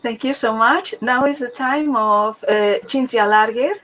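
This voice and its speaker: A woman's voice: fairly high pitch, a little slow, a bit bright. A woman with as a moderating an online meeting over a video call, with many fillers and restarts.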